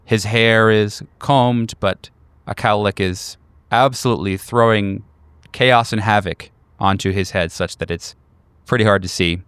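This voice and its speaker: A man narrating a tabletop horror game as game master, in a measured, descriptive storytelling manner. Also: fairly low pitch, steady tone, a bit bright, smooth, no room sound.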